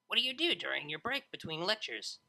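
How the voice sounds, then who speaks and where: A brash young mare DJ from a cartoon pony storybook, acted by a narrator, bright and forceful. She talks in casual slang, blunt and playful, with a lively swing in pitch. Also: a little fast, gravelly, full character voice. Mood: upbeat, chatty.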